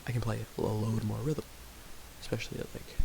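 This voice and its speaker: A young man talking quietly in a raspy voice, rambling with fillers as he thinks aloud while making music. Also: lowish voice, steady tone, fairly quick. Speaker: music producer streaming. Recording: home recording with audible hiss.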